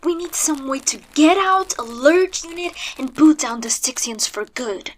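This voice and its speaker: High-voiced, defiant heroine. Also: female voice, animated, unhurried, slight background noise. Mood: restless, determined. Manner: blunt, combative. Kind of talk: science-fiction audio drama acting.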